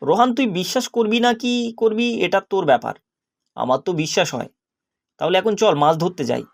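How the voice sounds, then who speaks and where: A man plays a discontented young fisherman in a cartoon fable. His voice sits high for a male. He sounds naive and earnest, with a plaintive way of talking. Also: big pitch swings, fast talker, vocal fry, heavily acted. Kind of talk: animated story character acting.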